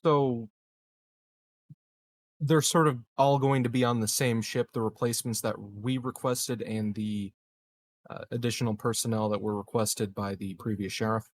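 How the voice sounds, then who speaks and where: A man at a roleplaying game talks casually in an average-pitched voice. He feels his way through questions haltingly, as a player checking details. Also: steady tone.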